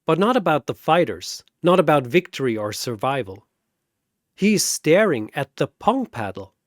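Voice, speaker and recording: A man's voice: on the high side, sing-song, moderate pace, no echo, clean studio sound. A man narrating a scripted fantasy battle story in a dramatic storytelling style.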